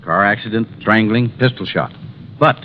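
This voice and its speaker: An actor playing an anxious, self-important company president in 1950s radio drama, formal, agitated and halting. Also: male voice, on the low side, close to an everyday voice. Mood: grave, troubled. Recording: muffled, noisy old radio recording.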